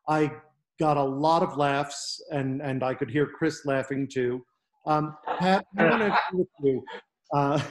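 Guy in his 30s on a video call, a bit higher voice, careful feedback w/ pauses. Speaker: audience member giving feedback. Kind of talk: informal, considered critique. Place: room at home by a window.

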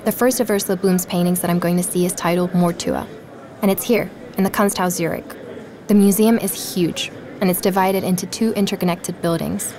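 An actress playing an inquisitive, persuasive woman podcaster in an audio drama, narrating and chatting in a measured, natural way. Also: on the low side, fairly even intonation, darkish tone, natural voice. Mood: reflective. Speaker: podcast narrator and lead character.

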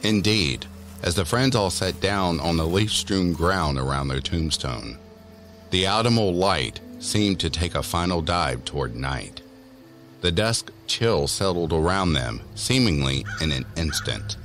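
Very deep-voiced male horror narrator. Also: animated, unhurried, fairly bright tone, faint music behind. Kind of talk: scripted horror story narration.

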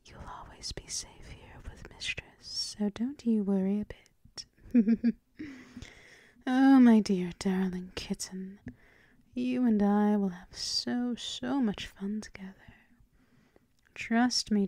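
A woman's voice: medium pitch, takes it slowly, long pauses, no echo, clean studio sound. A woman playing a dominant, teasing vampire mistress in an intimate ASMR roleplay, whispering breathily and lingering over repeated endearments. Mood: soothing, then possessive, promising. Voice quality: breathy whisper mixed with soft voiced speech. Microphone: close microphone.

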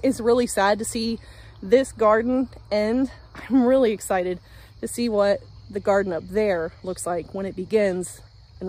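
Chatty high-voiced woman vlogging outdoors. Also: a little mellow, low-quality recording. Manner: chatty and upbeat, talking while doing yard work. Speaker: homestead vlogger. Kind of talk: casual vlog talk to a handheld camera. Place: outdoors in a backyard garden. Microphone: handheld phone at arm's length.

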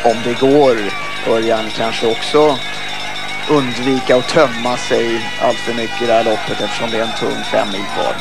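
A man with a Swedish accent giving live commentary on a ski relay in a measured way that builds to excited calls. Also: medium pitch, creaky, over music. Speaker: sports commentator.

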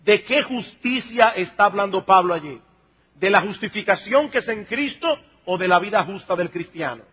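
A middle-aged man delivers a sermon in a high, forceful voice with a raspy, husky grain. He speaks emphatically as a pastor, putting questions to his listeners and addressing them directly. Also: expressive, normal speed. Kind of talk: sermon, expository preaching to a congregation.

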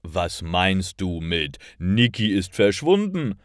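A man plays a puzzled, amused storybook husband. He speaks with emphatic disbelief and lively swings in pitch. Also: takes it slowly, no room sound, clean studio sound, heavily acted.

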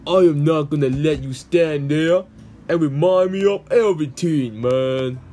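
A man's voice: on the high side, measured pace, mellow, a little hiss or hum, natural voice. A man voicing a brooding, hot-tempered bomb-headed creature in an amateur comic dub, speaking bluntly. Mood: angry. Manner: blunt, says "man" often.

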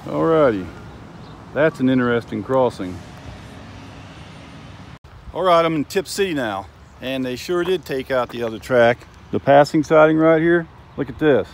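A man's voice: medium pitch, lively intonation, moderate pace, creaky, long pauses, a little hiss or hum. A middle-aged man casually narrating trains and tracks outdoors, pointing things out to viewers as he films with his phone. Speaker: railfan vlogger. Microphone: phone camera held by the speaker.

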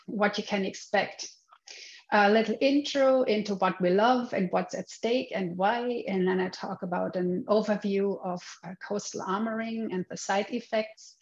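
A middle-aged woman from Germany with a German accent, giving a chatty, loosely worded webinar lecture over a video call, with many restarts and fillers. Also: on the high side. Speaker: guest lecturer. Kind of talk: webinar presentation. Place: home room. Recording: slightly dull video-call audio.

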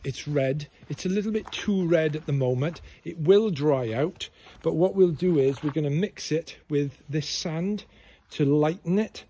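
Higher-voiced man in his fifties, casual vlogging. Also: dark tone. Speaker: property developer presenting a video channel. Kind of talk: casual site-update vlog. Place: outdoors on a barn building site. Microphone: handheld phone at arm's length.

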